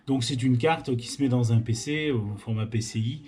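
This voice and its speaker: A man's voice: mid-range voice, moderate pace, mellow, faint music behind. A man from France hosting an informal amateur radio tutorial, explaining technical things hesitantly and often repeating himself.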